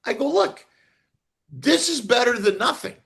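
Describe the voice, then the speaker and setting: A man chatting on a podcast, telling stories in an animated way and quoting others. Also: very high voice, measured pace, creaky. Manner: animated storytelling with quoted voices. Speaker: podcast host. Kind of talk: casual chat.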